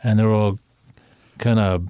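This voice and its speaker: A man's voice: fairly low pitch, level tone, measured pace, warm tone, smooth, no room sound. A man chatting on a community radio show, playful and wry, teasing the others. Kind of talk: casual radio chat.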